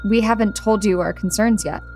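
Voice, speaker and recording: A woman's voice: mid-range voice, fairly even intonation, normal speed, a little mellow, faint music behind, lightly acted. A woman playing a shaken young tech engineer in an audio drama, conversational, earnest and emphatic. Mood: wary, insistent. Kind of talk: audio drama table-read acting.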